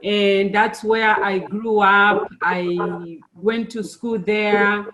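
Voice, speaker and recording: A middle-aged woman born and raised in Zambia, giving a friendly, unhurried presentation to students, with many fillers. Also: mid-range voice, level tone, a crowd in the background, poor audio. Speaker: engineer giving a talk to students.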